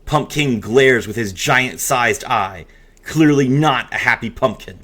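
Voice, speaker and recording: A man's voice: medium pitch, unhurried, a bit bright. A man hosting a card game video, mixing casual commentary with theatrical, emphatic reading aloud.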